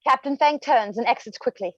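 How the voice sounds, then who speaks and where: Woman narrator, fairly high voice, dull lo-fi dry sound, scripted stage directions. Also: brisk, very noisy.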